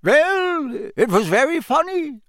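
A man acts out a gloomy storybook government minister, pitched well above an ordinary male voice. He drags his words out mournfully, with a dry, wry streak under the gloom. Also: very animated, slow, fairly bright tone, no room sound, full character voice. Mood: amused. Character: gloomy foreign government minister, middle-aged man, wryly amused.

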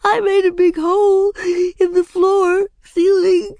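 A woman voicing a defiant, emotional little girl from a storybook, loud, insistent and plaintive. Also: high voice, animated, measured pace, no echo, voice pushed up, heavily acted. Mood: tearful.

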